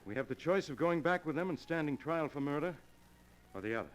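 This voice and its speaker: Actor as theatrical, swooping-voiced fatalistic criminal lover, old radio. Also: male voice, a little high, animated, close to an everyday voice. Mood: coolly persuasive. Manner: theatrical, persuasive, deliberate. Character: smooth, fatalistic criminal lover urging his partner to suicide. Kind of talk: 1940s radio acting. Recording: noisy old golden age radio recording.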